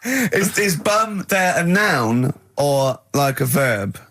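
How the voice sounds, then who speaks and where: A man's voice: a little high, big pitch swings, takes it slowly, sharp tone. A man hosting a radio show, teasing his co-hosts with jokey asides in casual chat. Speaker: radio host. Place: radio studio.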